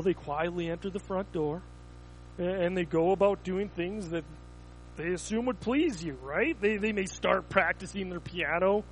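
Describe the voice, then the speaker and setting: A man's voice: high voice, fairly bright tone, a little hiss or hum, rough recording. A man preaching a sermon, telling everyday stories as illustrations, with frequent stumbling restarts.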